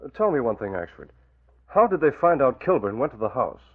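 Husky, shrewd radio-drama boss. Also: male voice, medium pitch, sing-song. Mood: thoughtful, decisive. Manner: measured, authoritative, probing. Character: composed, shrewd male newspaper boss, secret crime fighter. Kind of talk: 1940s radio acting. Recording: muffled, tinny old radio recording.

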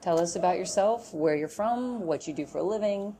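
A woman's voice: lowish voice, a little fast, warm tone, rough recording. A woman hosting a podcast, chatting with enthusiasm and energy. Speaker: podcast host. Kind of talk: upbeat podcast chat.

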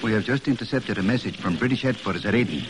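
An actor playing a dutiful submarine radio operator in an old radio drama. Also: male voice, on the low side, very fast, natural voice. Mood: dutiful, reporting. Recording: noisy old radio broadcast recording.

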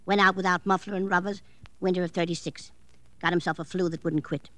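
A woman's voice: on the low side, steady tone, a little fast, fairly bright tone, close to an everyday voice. A woman playing a cranky, evasive older aunt in a radio drama, fussy and scolding, talking in clipped, colloquial fragments. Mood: offhand, blunt. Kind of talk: old-time radio drama acting.

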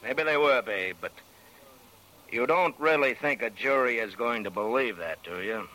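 A man's voice: medium pitch, expressive, normal speed, sharp tone, poor audio. A man playing a hard, skeptical police sergeant in a 1940s radio drama, sardonic and pressing.

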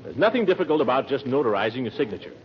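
An actor playing a pompous, impatient middle-aged town official in a 1940s radio comedy, blustering with exasperation. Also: male voice, mid-range voice, sing-song, very fast, lightly acted. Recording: muffled, noisy vintage radio recording.

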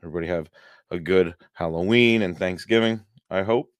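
A hoarse man hosting a paranormal podcast livestream, chatting casually and rambling with many fillers and chuckles. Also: deep voice, brisk, no room sound, clean studio sound. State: hoarse, losing his voice.

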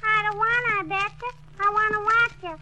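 A woman's voice: extremely high pitch, steady tone, fairly quick, heavy background noise. A woman playing a pestering little girl who keeps counting ball bounces aloud, in a 1940s radio comedy. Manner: singsong counting, nagging questions.

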